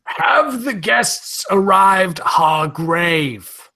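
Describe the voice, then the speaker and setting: Exasperated lord, radio spoof, man acting: raspy, sharp-edged, animated, testy. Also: high-pitched, drawn-out words, full character voice. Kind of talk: hammy old-time radio parody.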